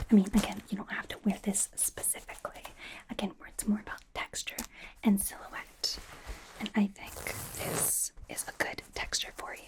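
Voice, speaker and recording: Casting: woman as bubbly young female K-pop idol, slow breathy whisper, gushing personal stylist for ASMR roleplay. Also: medium pitch, natural voice, rough recording. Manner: gushing, reassuring, chatty with trailing repeats.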